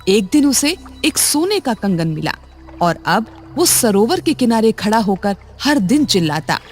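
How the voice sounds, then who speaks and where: A woman narrating short moral stories for children in a calm, even, scripted storytelling manner. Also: fairly high pitch, measured pace, soft background music.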